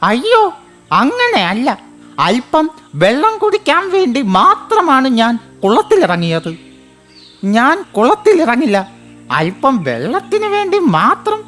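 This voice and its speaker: Woman voicing a very high, pleading little frog. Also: wildly swooping pitch, a bit bright, soft background music, exaggerated voice. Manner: pleading, drawn-out, earnest. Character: small friendly male frog, pleading and anxious. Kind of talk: children's cartoon story voice acting.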